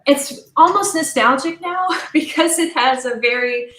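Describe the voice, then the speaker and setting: A woman talking as an interview guest about her creative work, enthusiastic, animated and emphatic. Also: sits high, a little slow. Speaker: guest, audio drama creator. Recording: roomy, echoing sound.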